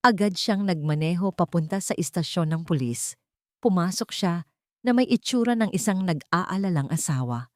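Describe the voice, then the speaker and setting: A woman's voice: fairly low pitch, lively intonation, normal speed, a little mellow, no room sound, clean studio sound. A woman with a Filipino accent narrating a dramatic story as a scripted audiobook.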